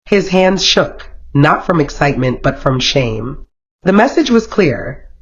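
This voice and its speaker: A storyteller reading a scripted romantic folktale in a dramatic, expressive manner. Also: medium pitch, a little slow, slightly rough. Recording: dull, lo-fi sound.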